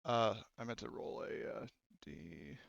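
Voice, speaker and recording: A man running a tabletop game as game master, narrating casually and haltingly with informal, sweary phrasing. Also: on the low side, expressive, gravelly, clear, resonant tone, dull audio.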